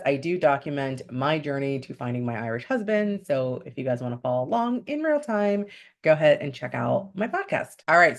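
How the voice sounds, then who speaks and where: A young woman from upstate New York, raised speaking Spanish first, chatting candidly and upbeat to camera in a vlog. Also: deep voice. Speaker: vlogger. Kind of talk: casual chat to camera.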